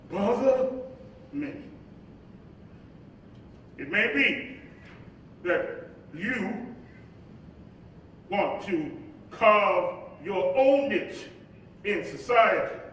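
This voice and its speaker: An actor playing a weary, embattled civil rights leader and husband in a staged play, speaking emphatically like an orator. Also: male voice, animated, very slow, pauses often, bright, forceful tone, exaggerated voice. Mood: angry. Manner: oratorical, emphatic, stammering when troubled. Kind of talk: staged play reading, dramatic monologue and dialogue. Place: live staged reading in an echoey hall. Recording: echoey live hall, distant mic, rumbly noise.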